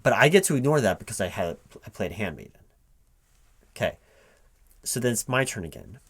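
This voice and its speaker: A man in a spoken, unwhispered ASMR roleplay, talking casually and haltingly to an unheard partner as if puzzling things out aloud. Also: lowish voice, very animated, a bit bright, breathy edge. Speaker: recruiting manager interviewing a gamer in a one-sided roleplay. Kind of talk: spoken ASMR roleplay, casual one-sided conversation, not whispered.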